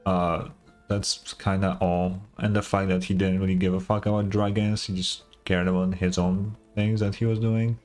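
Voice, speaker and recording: A man's voice: low voice, flat delivery, normal speed, darkish tone, faint music behind. A man giving casual reaction commentary as a gaming streamer, informal, wry and sweary.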